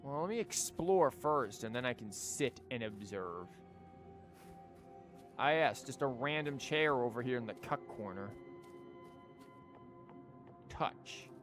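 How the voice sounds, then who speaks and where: Male game streamer, mid-pitched, sarcastic and sweary, chatting casually over faint music. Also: animated, slow, pauses often. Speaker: streamer playing a video game. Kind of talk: casual live game commentary. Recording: music behind the voice.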